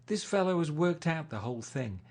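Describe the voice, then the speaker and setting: A man's voice: fairly high pitch, lively intonation, brisk, a little mellow, voice pushed up. A man voicing a self-important wealthy man in a storybook reading, insistent and lecturing.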